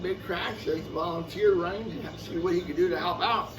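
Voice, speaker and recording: A man's voice: high voice, fairly quick. A man reading a children's picture book aloud expressively, adding casual asides and repeating phrases for young listeners. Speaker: storyteller. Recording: noisy, picked up from a distance.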